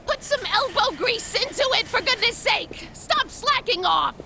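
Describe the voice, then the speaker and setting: A woman's voice: very high voice, expressive, unhurried, gravelly, bright, forceful tone, slight background noise, exaggerated voice. An actress playing a bossy woman supervisor in a game, scolding and demanding. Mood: exasperated scolding. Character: bossy, scolding female care-home supervisor. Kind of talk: English game dub acting.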